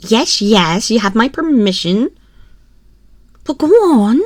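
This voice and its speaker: A woman's voice: on the high side, sing-song, darkish tone, no room sound, full character voice. A woman playing a haughty, condescending young empress in a scripted ASMR roleplay, teasing and imperious, speaking intimately into a close microphone. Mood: imperious, indulgent. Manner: imperious, slow, theatrical, teasing drawl with breathy whispers. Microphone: close binaural microphone.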